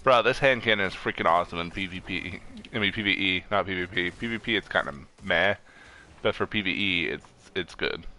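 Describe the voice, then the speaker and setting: A man chatting casually while streaming an online game, excitable and given to swearing. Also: low voice, a little fast. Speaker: gaming streamer. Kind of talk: casual chat while playing a co-op online shooter.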